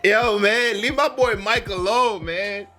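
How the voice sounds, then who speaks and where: A man's voice: sits high, slow, bright, forceful tone, faint music behind. A young man, chatting animatedly, laughing and swearing in a casual reaction commentary, his voice a bit worn. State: losing his voice. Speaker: reaction video host.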